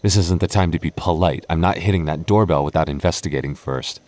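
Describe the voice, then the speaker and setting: Man acting a deep-voiced, hesitant young boyfriend. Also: a little fast, natural voice. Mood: teasing, wary. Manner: hesitant, stammering, soft-spoken intimate delivery. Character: young boyfriend, nervous but affectionate, on a haunted adventure. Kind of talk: boyfriend roleplay ASMR audio drama.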